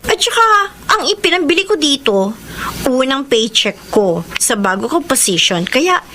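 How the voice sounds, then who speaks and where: A woman's voice: sits high, big pitch swings, moderate pace, a bit bright. An actress playing an insecure, competitive young career woman, a resentful younger sister, in a radio drama. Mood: resentful, eager. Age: young adult. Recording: noisy radio drama recording.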